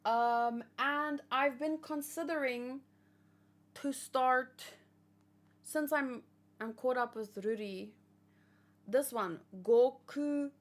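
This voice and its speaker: A woman chats about her manga reading at an easy, unhurried pace, pausing and restarting often. Her voice sits a bit high, and she sounds relaxed and talkative, like a fan hosting her own read-along. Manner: chatty, rambling, frequent fillers and restarts. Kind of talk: casual chat and reading aloud.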